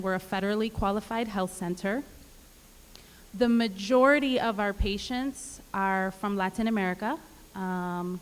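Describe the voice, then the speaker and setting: A woman from Puerto Rico, a nurse midwife, introducing herself in a friendly, personal way on a panel. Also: mid-range voice. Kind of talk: public panel talk. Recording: reverberant hall.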